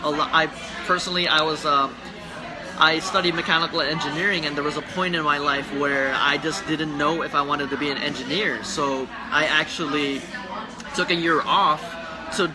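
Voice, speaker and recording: A man's voice: a little high, fairly even intonation, normal speed, crowd noise, low-quality recording. A young man with a Filipino accent, a guest in a casual livestream chat, talking earnestly and rambling with many restarts. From Philippines. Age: young adult. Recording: background music.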